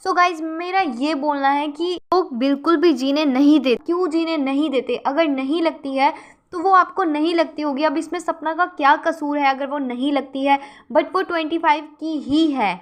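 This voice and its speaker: Woman, gossip vlog host, conversational, dry close sound, high voice. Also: fairly even intonation, moderate pace, dry recording. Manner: conversational, keeps addressing viewers as guys. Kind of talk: chatty commentary reading viewer comments.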